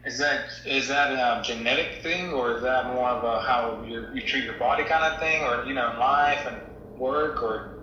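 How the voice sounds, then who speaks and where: Sharp, bright-voiced man, echoey recording. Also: mid-range voice, moderate pace, muffled recording, slight background noise. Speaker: host interviewing an old acquaintance. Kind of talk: casual phone chat.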